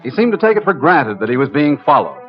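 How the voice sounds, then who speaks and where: An actor playing a sober, matter-of-fact investigator in an old-time radio crime drama. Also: male voice, on the high side, sing-song, fast. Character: sober, methodical male investigator narrating a surveillance case. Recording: dull old radio recording, music behind.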